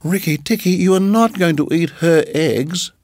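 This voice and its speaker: A man voicing a feather-brained little male tailorbird who chirps in a high, excitable sing-song. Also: normal speed, no echo, studio quality, lightly acted. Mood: excited praising.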